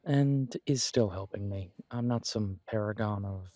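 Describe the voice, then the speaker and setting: A low, closely recorded, dry voice of a man acting as a supportive male best friend in a roleplay audio. He talks casually and earnestly, pausing as he goes. He is encouraging and happy to poke fun at himself. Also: dark tone, no echo, natural voice. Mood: reflective, earnest. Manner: earnest, encouraging, conversational with pauses. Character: supportive, self-deprecating male best friend, young working adult.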